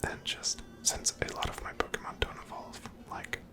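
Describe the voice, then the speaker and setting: A person whispering softly and breathily, giving gentle, casual ASMR commentary while playing a video game. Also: normal speed, over loud music. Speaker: host of a gameplay series.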